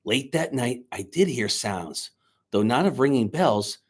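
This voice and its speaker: A man speaking to schoolchildren as their teacher, reading a storybook aloud in an expressive, dramatic way. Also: medium pitch, unhurried, breathy edge. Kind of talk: children's storybook read-aloud and chat to pupils. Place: indoors, recorded remotely on video.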